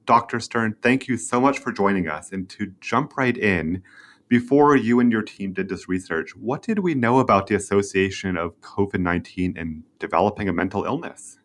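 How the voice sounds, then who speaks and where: A man's voice: on the low side, normal speed. A man hosting a medical podcast, presenting and asking questions in a formal, prepared interview style. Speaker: podcast host, journal web editor.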